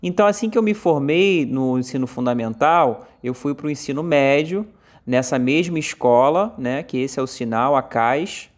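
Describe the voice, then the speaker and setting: A Brazilian man interpreting a deaf speaker's talk aloud in first person, in short halting phrases with frequent fillers. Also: mid-range voice. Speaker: sign language voice interpreter.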